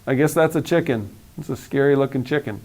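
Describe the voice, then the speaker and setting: A man's voice: medium pitch, brisk, darkish tone. A man in his forties reading a storybook aloud with playful, theatrical phrasing and casual asides. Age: 40s.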